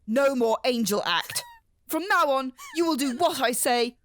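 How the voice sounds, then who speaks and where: A woman's voice: high voice, expressive, measured pace, fairly bright tone, a little hiss or hum. A woman playing a harsh, domineering guardian in a horror radio drama, scolding and commanding. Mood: stern, threatening.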